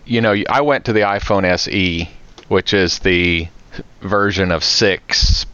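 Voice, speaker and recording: A man's voice: low-pitched, unhurried, bright, forceful tone. A man co-hosting a podcast, chatting informally about gadgets and tech over an internet call. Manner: informal, conversational. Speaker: podcast co-host. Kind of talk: casual tech chat. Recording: dull, dry call audio.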